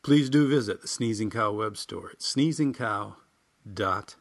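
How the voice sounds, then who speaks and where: A man's voice: fairly low pitch, a little slow, gravelly. A man hosting a podcast, reading humorous personal essays and chatting informally in a wry, folksy, self-deprecating way. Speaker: writer and podcast host.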